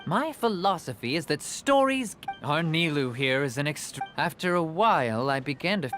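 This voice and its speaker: A woman's voice: sits low, animated, normal speed, a bit bright. A woman voicing a breezy, talkative textile merchant in a video game, casually persuasive and salesmanlike. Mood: sheepish. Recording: music playing behind the voice.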